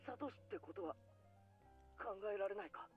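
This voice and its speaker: A man's voice: sits high, expressive, rapid-fire, narrow, tinny audio, faint music behind. An actor playing a serious, troubled teenage boy in a Japanese visual novel. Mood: tense, probing.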